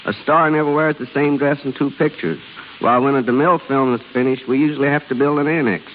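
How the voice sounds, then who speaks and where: A middle-aged man speaking as a guest in a scripted 1930s radio interview, measured, on a tinny, echoey recording. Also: mid-range voice, steady tone. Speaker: studio wardrobe director, guest. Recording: hissy, tinny, echoey old radio broadcast.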